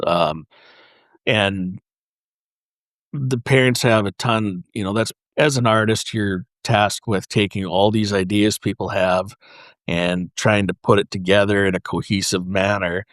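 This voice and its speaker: A man speaking as a podcast guest, explaining his craft casually in halting, piecemeal phrases with frequent pauses. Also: on the low side, fairly bright tone, dry recording, clean studio sound. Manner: halting, pausing, piecemeal delivery. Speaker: podcast guest, custom paint artist.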